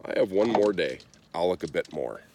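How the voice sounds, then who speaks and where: A man voicing a kind, earnest young prince in a storybook, tender-hearted and expressive. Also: low voice, normal speed, noisy background. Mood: musing.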